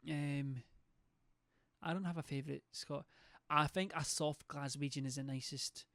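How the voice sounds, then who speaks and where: A young man chats loosely, swearing and rambling as he reads messages from his stream's chat. His voice sits a touch high for a man. The sound is close and completely dry. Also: fairly even intonation, measured pace, fairly bright tone, no room sound. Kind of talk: casual chat reading viewer messages.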